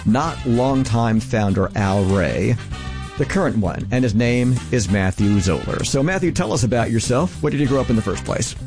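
A man's voice: medium pitch, expressive, normal speed, music in the background. A man hosting a radio interview, introducing his guest and putting questions to him. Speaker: interview host.

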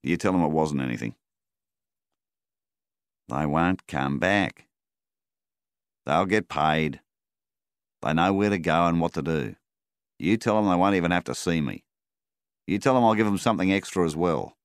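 A man voicing a gruff, hungover middle-aged bush farmer who talks bluntly and coaxes his dog. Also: deep voice, lively intonation, normal speed, pauses often, no echo, clean studio sound. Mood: firm.

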